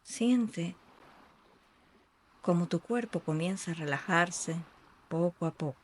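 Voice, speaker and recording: A meditation guide speaking in a soothing, unhurried way with long pauses between short phrases. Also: medium pitch, a little hiss or hum. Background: ambient sea sounds.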